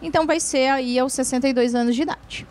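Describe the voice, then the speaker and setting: A woman's voice: high-pitched, fast talker, darkish tone. A woman lawyer answering viewer questions on a TV show, explaining patiently with frequent tag questions and restarts. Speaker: lawyer, guest expert.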